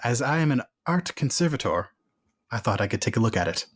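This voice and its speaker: A man voicing a composed female art conservator in a tabletop game, speaking in a measured, polite, formal way. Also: mid-range voice, big pitch swings, dry recording. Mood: politely insistent.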